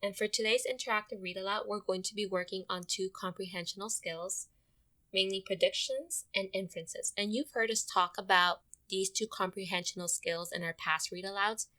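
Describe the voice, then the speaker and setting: A woman's voice: medium pitch, normal speed, pushed and bright. A woman teacher giving an interactive storybook read-aloud, explaining and addressing her young listeners directly.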